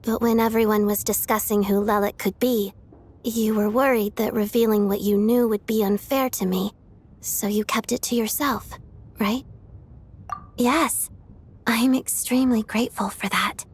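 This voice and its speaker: An actress voicing a secretive, earnest female undercover operative in a video game, with a measured, confiding delivery. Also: on the high side, steady tone, slightly rough.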